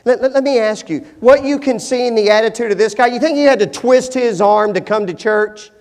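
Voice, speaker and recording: A middle-aged male pastor preaching a sermon, shifting from hushed, slow stretches to emphatic, rising appeals. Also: very high voice. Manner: dynamic, swings from hushed to emphatic. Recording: long hall reverb.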